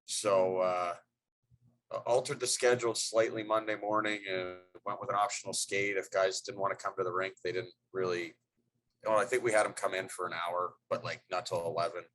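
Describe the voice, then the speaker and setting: A man's voice: sits low, level tone, normal speed, a bit bright, low-quality recording. A middle-aged man, a hockey head coach, answering press questions in a loose, rambling way with many fillers and restarts.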